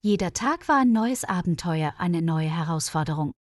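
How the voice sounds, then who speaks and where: A woman's voice: fairly low pitch, animated, moderate pace, no room sound, clean studio sound. A woman reading a scripted story as an audiobook narrator for language learners.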